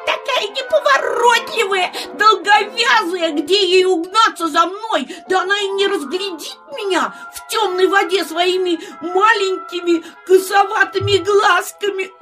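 A woman's voice: very high-pitched, unhurried, few pauses, pushed and bright, heavily acted. A woman voicing a naive, cheeky young frog in a children's audio play. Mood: cheeky, amused. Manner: giggly, boastful, exclaiming. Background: music.

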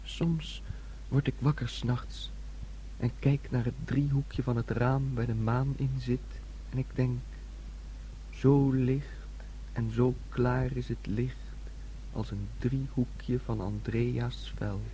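A man's voice: mid-range voice, steady tone, slow pace, dark tone, a little hiss or hum, natural voice, rough recording. An actor playing a childlike, dreamy young man in a radio play, with a naive, wistful storytelling manner. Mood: wistful, intimate. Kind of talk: Dutch radio play acting.

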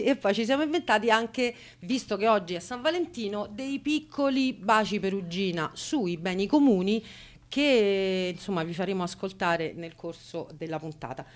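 A woman hosting an unscripted live radio talk, speaking in a halting, improvised way. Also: medium pitch. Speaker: radio show host.

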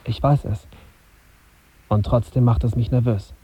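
An actor playing a brooding young journalist, narrating his tense inner thoughts in a measured, husky voice for a radio drama. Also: medium pitch, fairly even intonation, dark tone. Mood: anxious, introspective. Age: young adult. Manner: introspective, measured, bitter self-questioning.

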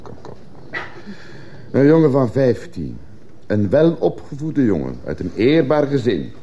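An actor playing a scornful, rhetorical defence lawyer pleading dismissively in a radio courtroom drama. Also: male voice, mid-range voice, mellow, lo-fi sound. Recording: faintly noisy old radio recording.

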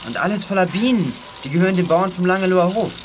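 A male actor playing a bright, confident boy in a German children's radio play. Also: high voice, fast talker, noisy background, natural voice. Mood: cheerful. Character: bright, confident boy, explaining things to friends.

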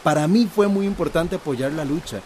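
An actor as a higher-voiced, earnest villager. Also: male voice, normal speed, a little mellow, a little hiss or hum, close to an everyday voice. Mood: grateful, earnest. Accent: Costa Rican. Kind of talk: radio soap opera acting.

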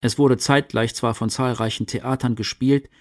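A man narrating and reading quotations for a scripted radio documentary, measured and articulate. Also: mid-range voice, steady tone. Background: music.

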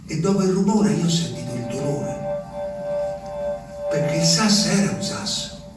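An actor playing an anguished, simple Italian peasant man, telling his memories in a halting, urgent stage monologue. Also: on the high side, lively intonation, music in the background, close to an everyday voice. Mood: haunted. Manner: halting, repetitive, urgent storytelling. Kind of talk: theatrical monologue. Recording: echoey room, distant mic, faint noise.

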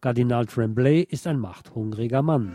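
A man presents a film review as a host, moving between read narration and his own opinionated asides. His voice is mid-pitched and mellow, with little edge. Faint music plays behind him. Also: normal speed, no echo, studio quality. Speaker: radio or podcast host reviewing films. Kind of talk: film review, part scripted narration, part conversational.

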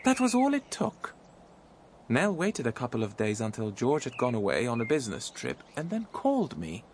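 An actor playing a lively, composed man who recounts a story in a radio drama. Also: medium pitch, moderate pace, slight background noise. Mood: reflective storytelling. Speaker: narrator and character. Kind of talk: dramatic radio narration and dialogue.

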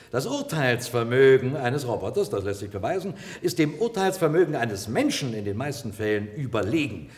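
An actor playing an eloquent, combative defence lawyer in a radio drama, arguing formally with emphatic rhetoric. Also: male voice, medium pitch, close to an everyday voice. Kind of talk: radio drama courtroom oratory. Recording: reverberant courtroom-like hall.

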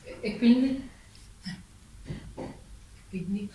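A woman playing a stern, suspicious farm animal leader in a stage play, speaking deliberately. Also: on the high side, dark tone. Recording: echoey, distant, clearly noisy stage recording.

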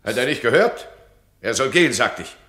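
An actor playing a domineering duke who scolds his subject in a radio play, his voice swinging between cold and loud. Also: mid-range voice, expressive, fairly quick. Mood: imperious. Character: domineering stern duke, absolute ruler. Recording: roomy radio drama studio.

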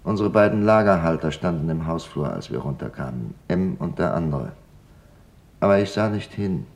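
An actor playing a grieving father who recalls wartime loss in a halting, reflective radio-play testimony. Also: deep voice, animated, gravelly, darkish tone. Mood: somber, subdued. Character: grieving father recalling his family's wartime arrest and deportation.

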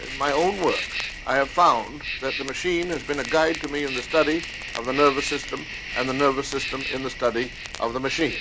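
A man's voice: medium pitch, brisk, few pauses. Noisy archival recording, man lecturing. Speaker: scientist speaking about his own research. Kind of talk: lecture-like talk.

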